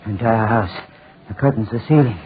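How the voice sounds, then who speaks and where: A man's voice: on the low side, fairly quick, lightly acted. An actor playing a deranged, desperate man in 1940s radio style, repeating his phrases feverishly and obsessively. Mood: manic muttering. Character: deranged desperate man set on burning his tormentor to death. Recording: scratchy, tinny 1940s radio recording.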